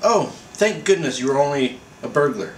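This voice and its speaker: A man's voice: a little high, lively intonation, normal speed, voice pushed up, natural voice. A man voicing a blunt, exasperated wife in a scolding, insistent manner for a comic story. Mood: indignant. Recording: roomy echo, faint background noise.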